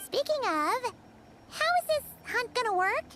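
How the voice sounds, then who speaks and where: Childlike fairy sidekick for a game: very high, animated, chatty, cheerful. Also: female voice, measured pace, heavily acted. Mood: determined. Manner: refers to self in third person, exclamatory. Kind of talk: video game voice acting. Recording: loud game music behind.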